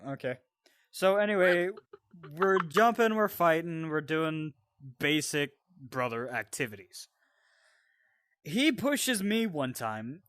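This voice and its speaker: A man with a fairly high voice tells a story from his own life in a casual, animated style. He swears freely and drifts into explanatory asides. The sound is dry, with very little room. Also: slow pace, dry recording. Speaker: storyteller and co-host. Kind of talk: casual personal storytelling with banter.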